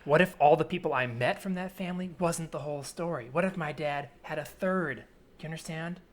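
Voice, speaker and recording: A man improvising an earnest, obsessive man in his twenties in a naturalistic comedy scene, speaking haltingly with pleading intensity. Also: fairly high pitch, natural voice. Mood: intense, searching. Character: earnest obsessive 27-year-old man haunted by flip-flops. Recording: remote recording with added ambient soundscape noise.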